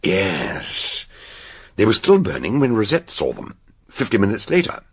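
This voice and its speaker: A man's voice: fairly low pitch, wildly swooping pitch, slightly rough, exaggerated voice. An older British actor playing an erudite amateur detective in a radio drama, laying out his explanation with theatrical, emphatic relish. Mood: explanatory, vivid. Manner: dramatic, emphatic, deliberate storytelling. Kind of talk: radio drama acting, expository storytelling.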